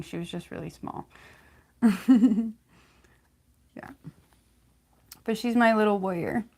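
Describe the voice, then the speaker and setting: A young woman with a slight lisp, chatting casually on a livestream, halting often with fillers and restarts. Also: medium pitch, gravelly, a little mellow. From California, United States. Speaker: livestream host.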